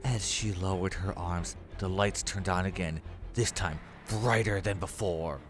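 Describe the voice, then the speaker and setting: A man's voice: low-pitched, fairly even intonation, unhurried. A man narrating a scripted horror story as its storyteller. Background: music.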